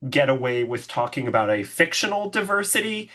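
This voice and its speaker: Deep-voiced woman, earnest podcast co-host. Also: sing-song, brisk, fairly bright tone. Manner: earnest, lots of "like" fillers. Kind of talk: casual podcast discussion.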